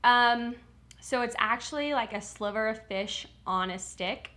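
A woman's voice: a little high, unhurried, bright, forceful tone. A woman co-hosting a podcast, chatting casually about snacks and reacting warily and dramatically. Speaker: podcast co-host. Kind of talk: casual snack-tasting chat.

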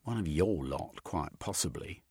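A man voicing a blunt, rough-spoken young woman in an audiobook, with a husky, raised voice. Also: low voice, lively intonation, unhurried, a little mellow, no echo, studio quality, full character voice.

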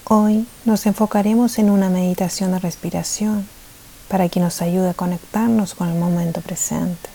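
A woman leading a guided meditation, soft-spoken and soothing, with gentle pauses and a tendency to drift toward a breathy near-whisper. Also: on the low side, steady tone. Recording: faint hiss behind the voice.

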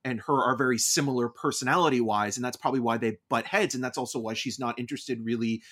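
A man's voice: mid-range voice, fast, a bit bright, breathy edge. A man co-hosting a casual film review, explaining his points wordily and with enthusiasm. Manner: enthusiastic, wordy, loosely explanatory. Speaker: podcast co-host reviewing films.

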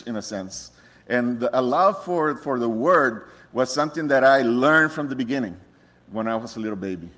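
Middle-aged man, a missionary giving a relaxed, joking testimony with frequent restarts. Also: medium pitch. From Costa Rica. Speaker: missionary speaker. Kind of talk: personal testimony to a congregation.

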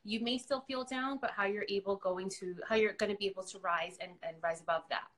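A woman's voice: mid-range voice, fairly quick, fairly bright tone. A woman whose first language is Spanish, chatting and explaining as a counselor guest in a casual interview.

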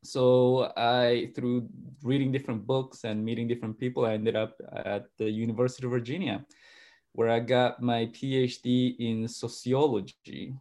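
Halting male guest from Argentina. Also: mid-range voice, flat delivery, a little mellow. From grew up in Argentina. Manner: halting, repeats words and restarts often. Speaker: guest, pastor and sociologist. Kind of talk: conversational interview answers.

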